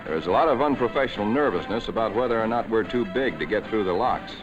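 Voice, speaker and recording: A man giving scripted narration for a 1940s wartime documentary, in a measured, solemn way, on an old film soundtrack. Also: on the low side, lively intonation, muffled recording, over music.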